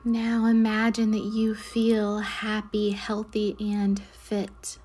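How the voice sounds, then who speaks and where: A woman leading a guided meditation in a soothing, measured way, with soft breathy endings to her phrases. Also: mid-range voice, monotone. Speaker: guided meditation leader, Reiki instructor. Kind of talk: slow guided meditation narration.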